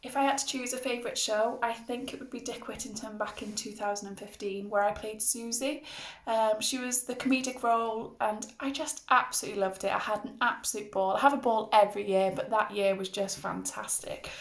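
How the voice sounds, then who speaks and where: A woman's voice: fairly high pitch, fairly even intonation, brisk. A woman who acts in amateur pantomime, recalling a favourite role informally, recorded in an echoey room. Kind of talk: spoken recollection to camera.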